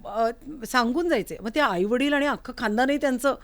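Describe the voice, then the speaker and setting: A woman's voice: a little high, a little fast. A woman hosting a conversational interview, asking questions and telling anecdotes. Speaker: interview host. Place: recording studio.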